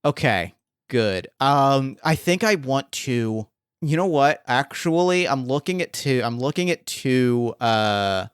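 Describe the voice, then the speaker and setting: A man's voice: mid-range voice, animated, slow, clean tone, no echo, clean studio sound. A man acting as game master, thinking aloud with many fillers and restarts as he sets up a scene. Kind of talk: improvising a tabletop game scene.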